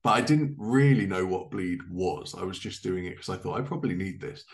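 Low-voiced man hosting a casual interview. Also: fairly quick. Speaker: podcast host. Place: living room, indoors, on a video call.

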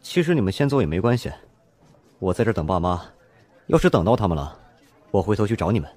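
A man's voice: fairly low pitch, big pitch swings, fast, darkish tone. A man playing a subdued, considerate young student in an audio drama, with casual, understated delivery. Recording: music under the voice.

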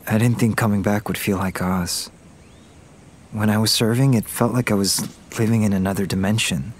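A vulnerable young man acted by a man in a romantic audio drama speaks in a low voice. He is earnest and hesitant, with ambient noise around him. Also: fairly even intonation, moderate pace, close to an everyday voice. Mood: subdued, searching. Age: young adult. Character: vulnerable young Korean heir back from military service. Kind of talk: naturalistic audio drama acting.

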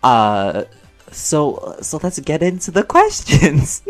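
A man's voice: a little high, big pitch swings, slow pace. A man rambling casually through a Q&A, chatty, playful and slangy, often interrupting himself and prone to giggling. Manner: giggly, often breaking into laughter. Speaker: channel creator and voice actor answering fan questions. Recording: music behind the voice.

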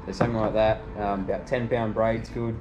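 A man, giving relaxed, practical advice as shop staff inside a tackle shop, filmed from a distant camera. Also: lowish voice, fairly quick. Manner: relaxed, practical, frequent ums. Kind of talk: casual product advice. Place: indoor fishing tackle shop. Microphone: far camera. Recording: roomy shop with loud noise.

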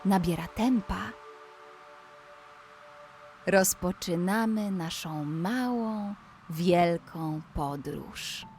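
A woman narrating a scripted bedtime story in a gentle, soothing manner, as if guiding a child toward sleep. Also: on the low side, very slow, long pauses. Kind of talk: slow scripted bedtime story with guided relaxation. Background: background music.

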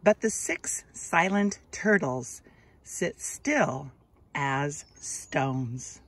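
A woman hosting an outdoor read-aloud for children, speaking to them with deliberate, expressive enunciation. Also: sits low, breathy edge. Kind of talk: children's story read-aloud and friendly outdoor talk to kids. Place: outdoors on a grassy trail. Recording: outdoor ambient noise.

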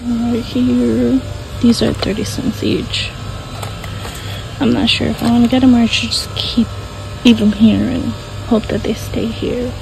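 A woman filming herself shopping on her phone, narrating finds in a hushed, half-whispered voice as she walks the aisles. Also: on the high side, flat delivery, measured pace, gravelly, music in the background, low-quality recording. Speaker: shopping vlogger. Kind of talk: casual shop-along narration. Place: inside a discount store aisle. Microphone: phone held in hand.

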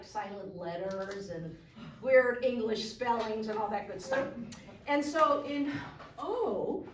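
Older woman speaker, bright lively delivery, echoey room from afar. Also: high voice, slight background noise. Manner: lively, animated storytelling reading. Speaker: retired librarian speaker. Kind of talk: public talk reading aloud. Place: large community hall, indoors. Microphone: far camera. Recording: roomy hall recorded from far off.

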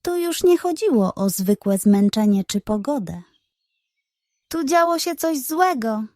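A woman's voice: high-pitched, sing-song, measured pace, mellow, dry recording, clean studio sound. A woman narrating a scripted children's bedtime story, telling it carefully and expressively. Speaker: narrator of children's audio stories.

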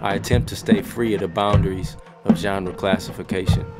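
A man's voice: deep voice, fairly even intonation, a little fast. A man introducing himself as a musician and narrating his creative approach. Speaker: drummer, producer, rapper and songwriter. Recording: loud drum music behind voice. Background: loud music with drums.

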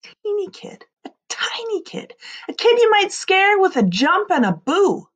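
A woman in her thirties reading a children's story aloud like a teacher, expressively, with dramatic drawn-out emphasis on key words. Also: very high-pitched, big pitch swings. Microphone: computer mic on a screen-share video recording.

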